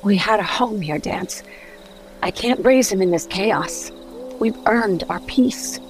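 Female actor for steadfast mother and leader in audio drama, mid register, firm, soft music under. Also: mid-range voice, slow, close to an everyday voice, poor audio. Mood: weary resolve. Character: protective, resolute mother and leader.

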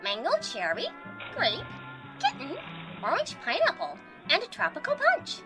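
Very squeaky, obliging waitress. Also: female voice, huge pitch swings, moderate pace, over music, heavily acted. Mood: polite, obstinate. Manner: deferential but contrary. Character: chirpy, polite, stubborn squeaky female waitress. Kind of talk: comic audio drama acting.

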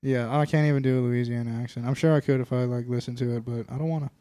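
A man's voice: mid-range voice, steady tone, fast, mellow, no echo. A young man co-hosting a comedy podcast, swearing freely and riffing on regional accents with a friend. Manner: profane, joking, tries silly accents. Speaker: podcast co-host. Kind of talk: crude comedic banter.

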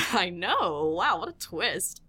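Bright-voiced woman narrating as game master. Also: mid-range voice, very animated, slow, gravelly, husky. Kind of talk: scripted narration with playful asides.

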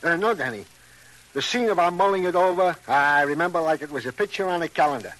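An actor playing an earnest, simple-hearted police sergeant with roundabout, ungrammatical speech, in an old radio crime drama. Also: male voice, on the high side, lively intonation, fast, a bit bright, rough recording. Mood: earnest, rambling. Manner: ungrammatical, roundabout, stilted phrasing.

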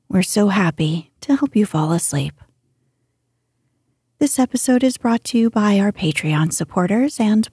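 A woman hosting a sleep podcast, reading scripted narration in a hushed, soft, unhurried manner, close to the microphone. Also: medium pitch, breathy edge, no echo. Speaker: podcast host and bedtime story reader.

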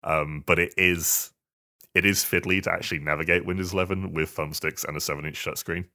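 A man co-hosting a podcast, giving casual tech reviews in a halting, self-correcting way. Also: sits low, steady tone, pushed and bright. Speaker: podcast co-host, games hardware reviewer.

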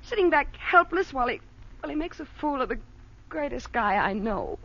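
A woman's voice: sits high, very animated, a little fast, a bit bright, full character voice. A woman playing a scheming, wounded nightclub femme fatale in old radio crime drama, melodramatic, bitter and pleading. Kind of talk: 1940s-50s radio crime drama acting. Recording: dull, noisy old radio broadcast.

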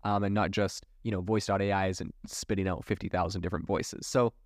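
A man's voice: low voice, fairly even intonation, brisk, dry recording, studio quality. A man hosting a solo tech news podcast, talking in an enthusiastic, conversational way. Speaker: podcast host.

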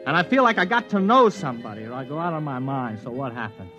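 A man's voice: medium pitch, big pitch swings, quick pace, sharp tone. A boozy drifter from an old science fiction radio play. He is a man who rambles loosely and hesitates as he goes. The recording is dull and vintage, with faint music behind. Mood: tipsy, anguished. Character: rambling, tipsy barfly man, sci-fi drifter. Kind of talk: old-time radio drama acting.

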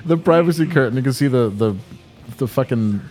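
A man's voice: medium pitch, expressive, brisk, darkish tone, soft background music. A man co-hosting a podcast in an apartment room, bantering casually and profanely with mumbled asides. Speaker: podcast co-host.

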